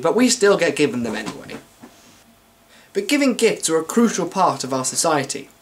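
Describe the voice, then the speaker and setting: A British man delivering a wordy, wry scripted comic monologue as a vlogger to his viewers. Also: sits high.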